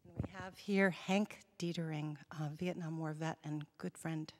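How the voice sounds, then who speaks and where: A woman's voice: deep voice, fairly even intonation, unhurried. A middle-aged woman moderating a panel, introducing guests in a chatty, familiar, informal way. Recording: reverberant hall, faint background noise.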